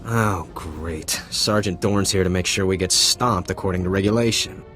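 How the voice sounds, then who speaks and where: A cocky young ensign w/ a smart mouth, faint music behind. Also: male voice, sits low, moderate pace, a bit bright. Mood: wry. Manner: sarcastic, jokey, stammers when scolded. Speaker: rescued junior officer.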